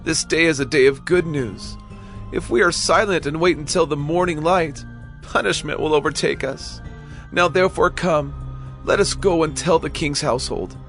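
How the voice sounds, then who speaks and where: A man's voice: a little high, normal speed, a bit bright, soft background music. A man playing a starving, desperate leper in a dramatized audio Bible, urging companions emphatically. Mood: earnest, guilty.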